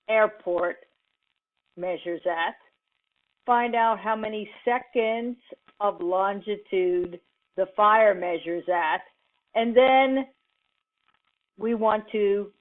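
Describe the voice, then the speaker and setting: Slow-paced woman instructor on phone-like audio. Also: mid-range voice. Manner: halting, deliberate, pausing mid-sentence. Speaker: computing instructor. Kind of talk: informal lecture, explaining an assignment step by step. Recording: muffled, phone-like narrowband audio.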